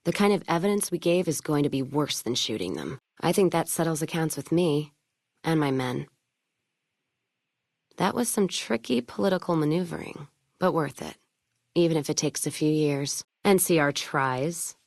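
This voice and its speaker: Tough wasteland caravan woman: low voice, blunt, wry, hard-drinking game character, close dry recording. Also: lively intonation, normal speed, a little mellow, dry recording. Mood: weary, matter-of-fact. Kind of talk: video game character dialogue.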